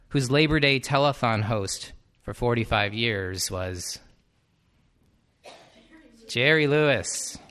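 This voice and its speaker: A man narrates a personal essay at an unhurried, even pace. His delivery is thoughtful and reflective, with a dry, slightly wry touch. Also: medium pitch. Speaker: teacher.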